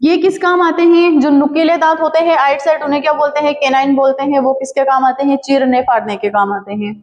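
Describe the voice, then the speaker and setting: A woman's voice: sits high, steady tone, fairly quick, long reverb tail, highs cut off. A woman teaching a lesson, asking the class questions and then answering them herself. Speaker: biology teacher.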